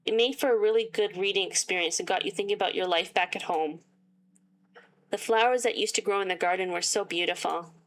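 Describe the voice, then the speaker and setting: Woman reading a game's story aloud between dry asides, voice wearing thin; mid pitch. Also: fairly quick. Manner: reads game text aloud and breaks off with sarcastic asides. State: losing her voice. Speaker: gaming YouTuber. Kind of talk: reading a visual novel aloud with commentary.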